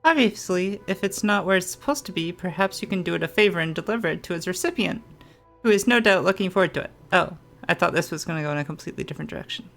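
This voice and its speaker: A woman's voice: fairly low pitch, fast talker, creaky, a bit bright, faint music behind. A woman doing casual gameplay commentary, playful and switching into reading game text aloud. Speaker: game streamer.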